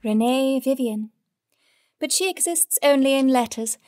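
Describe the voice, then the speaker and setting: A woman's voice: high voice, a little slow, warm tone, no echo, clean studio sound. An actress playing an impish, gossipy woman friend who narrates in an audio drama with an arch, knowing manner.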